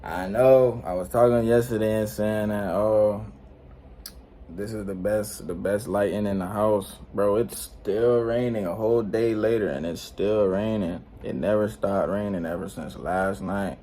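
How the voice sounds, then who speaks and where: Teen male vlogger, low voice, slangy and rambling, faint room hum behind. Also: fairly even intonation, unhurried, mellow. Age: late teens. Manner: slangy, rambling, laid-back, frequent "you know what I'm saying". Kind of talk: unscripted casual vlog talk. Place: indoors at home.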